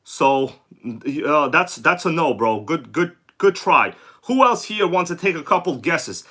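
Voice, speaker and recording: Bright-voiced sick man hosting a livestream. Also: fairly high pitch, very animated, a little slow. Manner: chatty, greets viewers by name, slangy. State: sore throat and congested. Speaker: livestream host. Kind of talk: casual live-stream chat.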